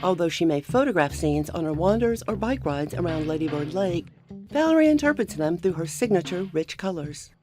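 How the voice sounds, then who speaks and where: A woman narrating a garden program, with an even and polished scripted delivery. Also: lowish voice, a little fast, over music. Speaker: show narrator.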